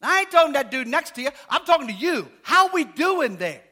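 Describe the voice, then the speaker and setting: A man preaching a sermon as pastor, casual and joking, asking the congregation questions, rising to shouts. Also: very animated, a little fast. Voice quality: high voice.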